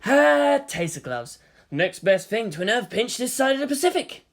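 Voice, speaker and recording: A man's voice: extremely high pitch, sing-song, normal speed, a bit bright, pitched above the performer's own voice, full character voice. A man voicing an exasperated, wisecracking younger male agent in a story reading, casual and complaining. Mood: wry banter.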